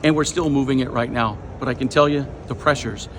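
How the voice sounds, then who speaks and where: American guy, medium pitch, emphatic press statement, lots of city and traffic noise behind him. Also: steady tone, brisk, fairly bright tone. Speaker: air traffic controllers' representative. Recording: clear urban ambient noise behind.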